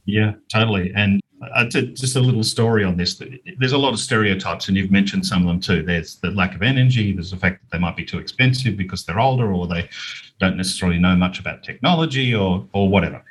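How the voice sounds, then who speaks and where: A middle-aged Australian man explaining things in a measured, conversational way as an interview guest. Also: deep voice, slight background noise. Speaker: interview guest, business owner.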